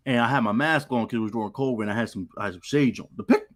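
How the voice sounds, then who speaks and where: A man's voice: medium pitch, racing. A man co-hosting a live sports talk stream, teasing and laughing as he chats. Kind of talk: casual live-stream chat.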